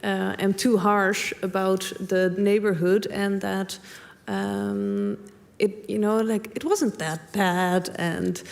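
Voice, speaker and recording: A woman's voice: mid-range voice, steady tone, slow pace, gravelly, a little mellow. A young woman from the Netherlands, talking hesitantly and thoughtfully on stage with many fillers. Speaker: panel guest. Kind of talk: public conversation on stage.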